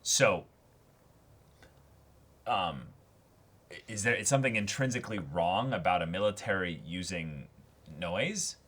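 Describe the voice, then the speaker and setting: A man's voice: low voice, expressive, a little slow, pauses often, a bit bright, breathy edge. A man co-hosting a casual discussion, reasoning through his points analytically with hedged, roundabout phrasing. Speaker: co-host of anime reaction and discussion show.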